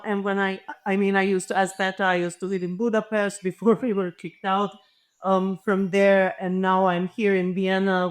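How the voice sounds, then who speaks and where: A woman from the former Yugoslavia, a native Serbo-Croatian speaker, answers a panel question in a mid-pitched voice. She thinks aloud, restarting and filling pauses as she goes. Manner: hesitant, thinking aloud with many fillers. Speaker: panelist and literature researcher. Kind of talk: reflective panel discussion answer.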